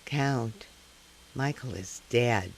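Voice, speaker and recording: A man playing a probing, skeptical talk show host in comic live radio theater, with a deadpan, announcer-like delivery. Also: mid-range voice, drawn-out words, gravelly, slight background noise, poor audio.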